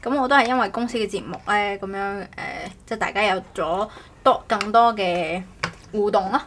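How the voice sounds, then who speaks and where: A woman's voice: mid-range voice, moderate pace, fairly bright tone, slight background noise. A woman chatting casually as a podcast host, rambling and often correcting herself. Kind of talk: casual chat.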